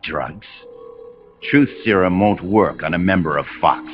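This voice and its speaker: An actor playing a cold, commanding rogue officer in a video game, speaking in measured, imperious monologues. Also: male voice, low voice. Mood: cool, probing. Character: cold, commanding male rogue officer, grandiose engineered super-soldier. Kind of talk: dramatic video game voice acting. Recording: dull compressed game audio under loud music.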